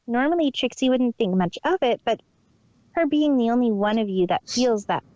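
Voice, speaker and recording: A woman's voice: on the high side, animated, normal speed, warm tone, dry recording, close to an everyday voice. A woman playing a boastful, theatrical unicorn magician who speaks of herself in the third person, in a fan story reading. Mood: puzzled. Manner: haughty, theatrical, hammy. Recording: dull lo-fi home recording.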